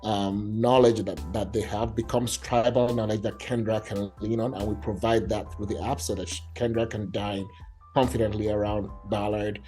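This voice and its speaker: A man's voice: lowish voice, steady tone, normal speed, warm tone, faint music behind. A man from Nigeria, a startup founder, explaining his app in a casual online meetup with enthusiasm and many fillers.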